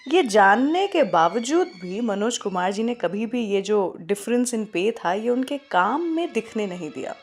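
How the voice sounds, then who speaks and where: A woman's voice: on the high side, lively intonation, unhurried, hardly any pauses, husky, faint music behind. A woman with an Indian accent hosting a radio show, telling stories conversationally and addressing listeners with questions. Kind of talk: scripted radio presenting with film trivia and song introductions.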